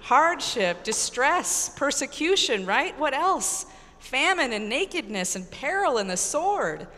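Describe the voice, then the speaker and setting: A middle-aged American woman priest giving an earnest, emphatic sermon with deliberate pauses and repetition. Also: high voice, big pitch swings, pushed and bright. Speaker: Episcopal priest preaching. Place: large church with congregation, indoors. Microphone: pulpit microphone. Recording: echoey church hall reverb.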